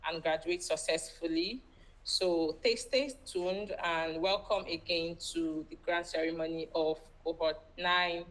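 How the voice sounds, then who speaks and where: A Nigerian woman with a voice a little on the low side gives an unscripted welcome address. She is conversational, often checking in with her listeners. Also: rough recording. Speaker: founder giving welcome address. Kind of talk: informal unscripted welcome speech.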